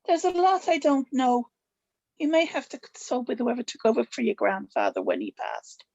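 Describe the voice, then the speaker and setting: Woman playing a high-pitched stern witch grandmother. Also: wildly swooping pitch, normal speed, darkish tone, muffled recording, full character voice. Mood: guarded, explaining. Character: stern, evasive witch grandmother guarding family secrets. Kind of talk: table read of a TV pilot script.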